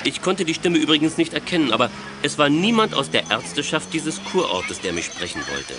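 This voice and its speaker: Dry schoolmaster, swinging intonation. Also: male voice, on the high side, very animated, brisk, music in the background. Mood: wry suspicion. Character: stern, wry male maths teacher at a school. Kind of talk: 1980s children's audio drama acting.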